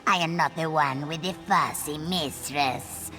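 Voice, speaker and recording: An actress playing an indifferent lizard-folk woman in a video game, slow, detached and deadpan. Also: sits low, over music. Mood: dismissive.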